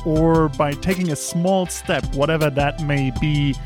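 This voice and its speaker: A man's voice: a little high, fairly even intonation, measured pace. Earnest male podcast host over music. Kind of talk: scripted ad read and interview intro.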